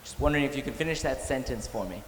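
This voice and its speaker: A man moderating a conference panel, introducing guests in a measured, formal way with some fillers. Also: medium pitch, lively intonation. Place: conference hall. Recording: echoey hall with faint noise.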